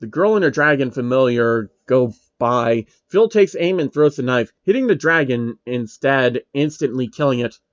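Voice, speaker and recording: A man reading a comedic fan story aloud, breaking off to react loudly and laugh at the text. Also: mid-range voice, animated, normal speed, few pauses, no room sound, highs cut off. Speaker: story reader.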